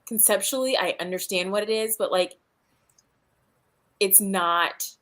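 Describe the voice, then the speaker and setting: A woman hosting a casual book chat in an expressive, exasperated, sweary way. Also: medium pitch, unhurried. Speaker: host of a book reading livestream. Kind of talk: casual chat about books.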